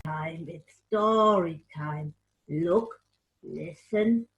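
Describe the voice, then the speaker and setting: A woman storyteller narrating a picture-book story for children, expressive and addressing young listeners with questions. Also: on the low side, very slow, lo-fi sound, slight background noise.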